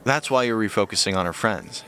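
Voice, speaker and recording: A man's voice: on the low side, fairly quick, pushed and bright. A man playing a skeptical, candid friend of a detective, emphatic and sardonic, in a naturalistic audio drama. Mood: matter-of-fact.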